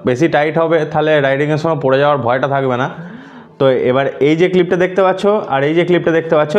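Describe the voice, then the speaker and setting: A man's voice: fairly high pitch, dull audio. A man narrating a casual step-by-step tutorial, explaining things and mixing in English words. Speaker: host of a motorcycle gear tutorial.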